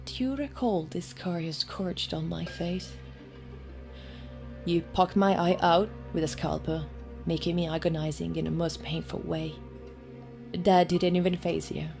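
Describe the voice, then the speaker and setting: An actress playing a vengeful, scarred young killer who speaks quietly and coldly in an audio drama. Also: female voice, on the low side, a little slow, gravelly, soft background music. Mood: cold menace. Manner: quiet, cold, threatening.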